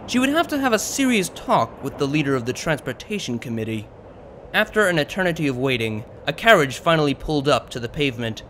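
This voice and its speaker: A man's voice: on the high side, fairly bright tone, a little hiss or hum. A man reading scripted fiction as an audiobook narrator.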